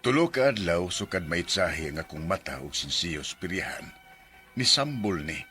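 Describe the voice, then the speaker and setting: A seventy-year-old male farmer with a Visayan accent in a radio drama. He is folksy, playful and stubborn. His very sharp, forceful voice swings widely in pitch, with light music behind it. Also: big pitch swings, normal speed, heavily acted. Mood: plaintive narration. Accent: Visayan Philippine. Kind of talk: radio drama acting with first-person narration.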